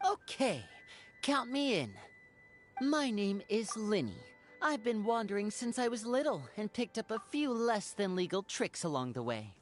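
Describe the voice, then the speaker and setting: A man voicing a sly, charming young wanderer with some tricks up his sleeve in a video game, playful and smooth. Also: high-pitched, sing-song, unhurried. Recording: game audio with faint music behind.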